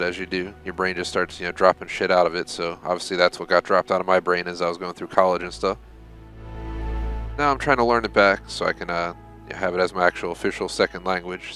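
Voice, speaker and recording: A man's voice: low-pitched, pushed and bright. A young man giving casual, rambling live commentary while playing a game, swearing when frustrated. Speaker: game streamer.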